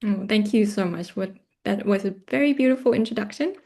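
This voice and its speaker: A woman's voice: medium pitch, fairly quick, darkish tone. A woman hosting an informal, friendly interview, putting questions to her guest. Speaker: interview host.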